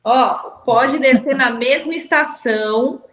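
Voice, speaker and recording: A woman's voice: sits high, takes it slowly, fairly bright tone. A woman guest on a live podcast, talking in a friendly way and explaining things step by step. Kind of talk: casual live podcast chat. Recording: echoey room.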